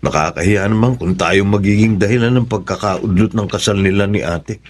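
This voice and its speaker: An actor playing a troubled, considerate husband-to-be in a Filipino radio drama, speaking hesitantly. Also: male voice, fairly low pitch, fairly quick, a little hiss or hum, close to an everyday voice.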